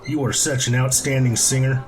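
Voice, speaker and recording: A man acts out a happy extrovert from a story, chatting with strangers at a bar. The manner is chummy and joking, at an ordinary male pitch. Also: flat delivery, brisk, close to an everyday voice. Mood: friendly.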